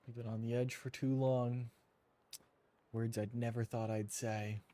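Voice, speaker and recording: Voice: mid-range voice, flat delivery, measured pace, warm tone, a little hiss or hum. Casual game streamer with quippy asides. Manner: informal, quippy asides, reads choices aloud. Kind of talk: casual joking reactions to a video game.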